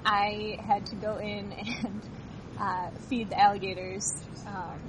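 A woman's voice: mid-range voice, flat delivery, takes it slowly. A young woman from Illinois, a student, answering interview questions in a chatty, upbeat way. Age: young adult. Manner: chatty, laughs often. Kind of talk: casual field interview answers. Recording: loud traffic noise behind.

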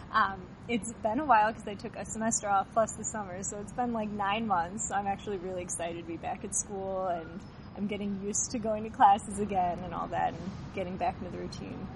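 A woman's voice: medium pitch, fairly even intonation, fairly quick, gravelly. A young woman from Illinois, a student, answering interview questions in a chatty, upbeat way. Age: young adult. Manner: chatty, laughs often. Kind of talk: casual field interview answers. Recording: loud traffic noise behind.

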